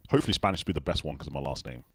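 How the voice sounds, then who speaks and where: A man's voice: rumbling low, racing, breathy edge. A man from the UK hosting a casual video call livestream, jokey and self-deprecating. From United Kingdom. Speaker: host.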